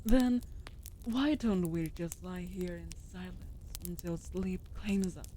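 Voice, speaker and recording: A woman voicing a female wolf familiar in a fantasy comfort audio drama, coaxing softly with dry, teasing banter. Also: on the low side, slow pace. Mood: drowsy, tender. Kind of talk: scripted comfort audio roleplay.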